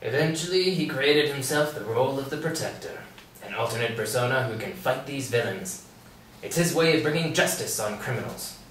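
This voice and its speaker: A man reading the part of a calm, composed male asylum doctor at a table read, in a measured clinical way with dry jabs. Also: medium pitch, natural voice. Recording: roomy echo, distant mic, faint hiss.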